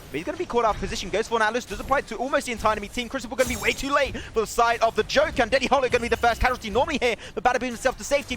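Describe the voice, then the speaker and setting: A male esports caster giving excitable play-by-play commentary with a hoarse, fading voice. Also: high voice, slight background noise. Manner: excitable, rapid play-calling. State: hoarse, losing his voice.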